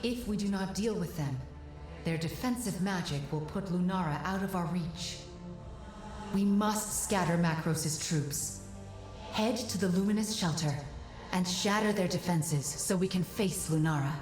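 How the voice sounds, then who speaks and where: Casting: woman as composed female construct guide, fantasy game, slightly low voice, formal and instructive. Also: unhurried, natural voice. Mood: composed, urging. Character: calm, devoted female construct guiding a warrior. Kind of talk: fantasy video game acting. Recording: echoing, with loud music. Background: game music.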